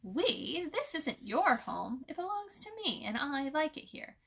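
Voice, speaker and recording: A prim little twig doll lady from a children's storybook, played by a woman reader. Her voice is high and sharp, and she snaps out her words with indignant emphasis and a quick temper. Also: very animated, vocal fry, full character voice.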